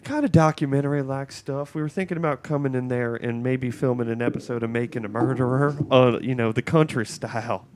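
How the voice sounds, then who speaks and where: A man's voice: medium pitch, brisk, few pauses, a little mellow, lightly acted. A man voicing a fed-up wife who is casually and sweetly morbid, on an improvised prank call. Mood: deadpan scheming.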